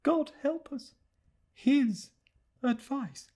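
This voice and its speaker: Man voicing a plaintive, high-voiced distraught young gentlewoman. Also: very slow, vocal fry, exaggerated voice. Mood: despairing. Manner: plaintive, pleading. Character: distraught young gentlewoman, anxious, fragile and despairing. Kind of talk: classic novel audiobook character voice.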